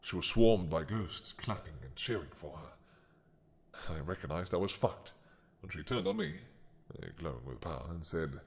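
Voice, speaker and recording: A man playing an ancient, regretful dragon king in an audio roleplay, talking slowly and softly with sighs. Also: low-pitched, sing-song, vocal fry, heavily acted. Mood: brooding. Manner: slow, soft-spoken, musing with sighs.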